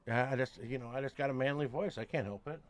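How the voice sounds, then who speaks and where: A man adding short, relaxed remarks as co-commentator on a pool match. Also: mid-range voice, fast talker, slight background noise. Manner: laid-back, short remarks. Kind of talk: live stream commentary. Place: farther from the mic.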